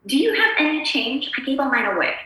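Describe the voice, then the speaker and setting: A woman's voice: sits high, sing-song, fast, bright, forceful tone, recorded from a distance, heavily acted. A woman playing a prim, polite pregnant housewife turned exasperated kidnapper in a screenplay table read, scolding with good manners. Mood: prim insistence. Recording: roomy echo, away from mic.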